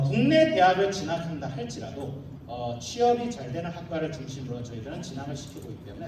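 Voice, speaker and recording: A man delivers a slide talk as a school representative, in a slightly high voice and at a rapid pace. He explains point by point and slips in informal, humorous asides. The sound is heavily reverberant, with clear noise around him. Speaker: school representative presenting at an admissions briefing. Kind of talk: formal slide presentation to a live audience. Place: large echoey room, live audience. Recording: roomy echoing hall, distant mic, clear noise.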